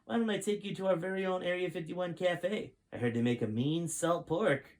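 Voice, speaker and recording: A hardened military general in a storybook tale, acted out by a male narrator. He is gruff and commanding. He talks in clipped orders, as a man used to being obeyed. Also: high voice, normal speed, darkish tone, pitched above the performer's own voice. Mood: coaxing. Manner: commanding, bossy, barking orders.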